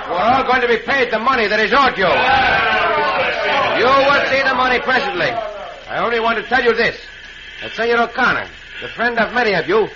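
An actor playing a confident, gallant Mexican cowboy hero in a radio western, with a Mexican accent and formal, deliberate phrasing. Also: male voice, extremely high pitch, lively intonation. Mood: commanding. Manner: formal, deliberate phrasing with Spanish words sprinkled in. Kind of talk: old-time radio western acting. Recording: noisy muffled old radio recording.

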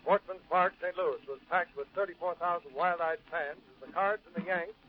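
An excitable American sportscaster from the 1940s. His high voice punches out baseball commentary with showy flair. It comes thin and tinny through a little radio set. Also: normal speed, over a noisy crowd. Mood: excited, showy. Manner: showy, punchy announcer delivery. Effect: small radio set filter. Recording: tinny narrow-band old radio.

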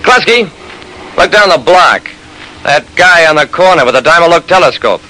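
A man's voice: a little high, expressive, normal speed, bright, forceful tone. An actor playing a shrewd, persistent private investigator narrating his case in an old-time radio drama, with clipped, hard-boiled delivery. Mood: keen, intrigued. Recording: noisy vintage radio recording.

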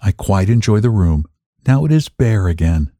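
A man's voice: fairly low pitch, sing-song, normal speed, warm tone, no room sound, clean studio sound. A man reading dramatically as an unhinged, secretive, defiant woman narrating a gothic story. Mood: brooding, agitated. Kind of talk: dramatic first-person audiobook reading.

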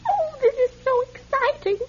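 High-pitched, excitable older lady. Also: moderate pace, highs cut off. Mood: eager, pleading. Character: excitable, gullible, eager older lady confidante. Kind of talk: radio drama acting.

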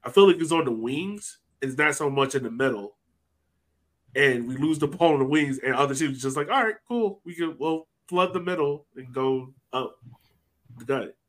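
A man's voice: mid-range voice, lively intonation, moderate pace, a bit bright. A man talking casually and colloquially as a sports podcast host, sometimes voicing imagined reactions.

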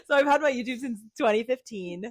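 A woman's voice: a little high, expressive, quick pace, a crowd in the background. A young American woman co-hosting a podcast, chatting casually in a bubbly, giggly way with girl-talk slang. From Miami, United States. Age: young adult. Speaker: podcast co-host. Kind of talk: casual chat.

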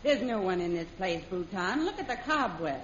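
A woman's voice: medium pitch, sing-song, moderate pace, lightly acted. An actress playing a bold, defiant female spy who feigns innocence, protesting theatrically in 1930s radio serial style. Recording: echoey, muffled, noisy old radio recording.

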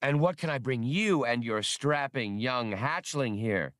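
A man's voice: medium pitch, animated, a little slow, fairly bright tone, no echo, clean studio sound, pitched above the performer's own voice. A man voicing an obsequious tentacled alien waiter, eager to please, in an audiobook. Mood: fawning. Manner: purring, fawning, wailing apologies.

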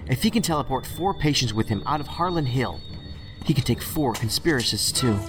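A man acts out a reckless, stubborn federal agent in an audio drama. He sounds dry and sardonic, with insistent, clipped phrasing and a medium pitch, and music plays clearly behind him. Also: expressive, a little fast, close to an everyday voice. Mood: urgent, intense.

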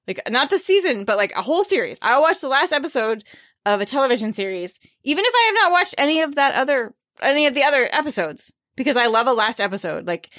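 A woman's voice: high-pitched, fairly bright tone, no room sound, studio quality. A woman hosting a book podcast in casual chat, bubbly and talkative, laughing often. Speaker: podcast host.

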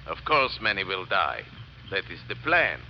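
A sinister conspirator issuing clipped, formal orders. His mid-pitched voice moves expressively with cold menace. It sounds like a tape played in a dull, faintly noisy old radio drama. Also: sing-song, moderate pace. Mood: menacing, commanding. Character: cold, menacing male plotter giving secret orders. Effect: played back from a tape recorder.

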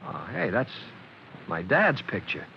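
A man's voice: on the low side, big pitch swings, measured pace, creaky, a little hiss or hum, heavily acted. A man acting an earnest, stammering young American rookie firefighter, eager and touchy, in an old-time radio drama on a muffled recording. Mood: earnest, respectful.

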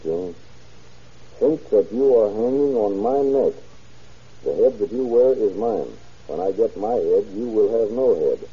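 An actor playing a dutiful, deferential deputy, reading deliberately in an old radio crime drama. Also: male voice, fairly low pitch, breathy edge. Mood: earnest. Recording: dull, muffled old radio recording.

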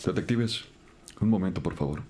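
A man acting as a very low-voiced forensic expert in a crime audio drama. He explains evidence deliberately and soberly, with skepticism, over audible background noise. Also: natural voice. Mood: measured, skeptical.

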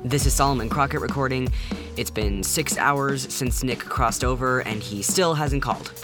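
A man's voice: mid-range voice, moderate pace, music in the background, close to an everyday voice. An actor playing a worried, exasperated man making a log entry in an audio drama. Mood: worried exasperation. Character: worried, exasperated man logging a tense recording.

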